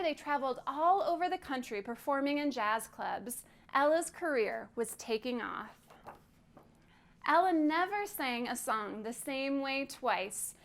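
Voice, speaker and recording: High-voiced woman reading a children's story. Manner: gentle, clear storytelling with counting prompts to children. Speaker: children's librarian.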